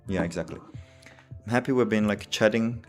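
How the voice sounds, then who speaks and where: A man's voice: fairly low pitch, moderate pace, faint music behind. A tired man with an Italian accent, Italian his first language, thinking aloud as he plays chess on a live stream, full of "you know" and "like". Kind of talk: casual live-stream chat while playing.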